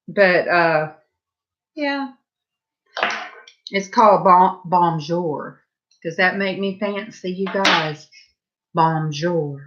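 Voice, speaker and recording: Rambly southern lady talking to her viewers at home, lowish voice, kinda dull lo-fi sound. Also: extremely slow, pauses often, a bit bright, slight background noise. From Southern California. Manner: rambling, chatty, talks to viewers directly. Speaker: vlogger. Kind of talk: casual chat while painting. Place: home, indoors.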